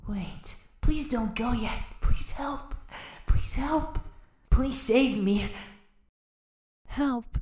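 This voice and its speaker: Woman voicing a fragile, slow-spoken frightened boy. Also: a little high, mellow. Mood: desperate. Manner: halting, timid, pleading. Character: frightened abused young boy, fragile and pleading. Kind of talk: dramatic manga dub acting. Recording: echoey, music behind the voice.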